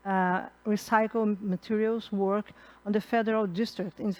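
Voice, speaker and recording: A middle-aged woman from Brazil speaks with a Brazilian accent, moderating a conference panel. Her English is halting, with many fillers and restarts. She talks into a handheld mic in a conference hall. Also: medium pitch, steady tone. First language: Portuguese.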